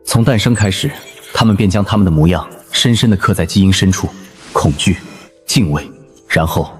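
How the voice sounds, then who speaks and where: A man voicing a composed survivor in an animated drama, explaining grim secrets in a measured, deliberate way. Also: deep voice, faint music behind, close to an everyday voice. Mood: grave, explaining. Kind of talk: animated drama dialogue, expository.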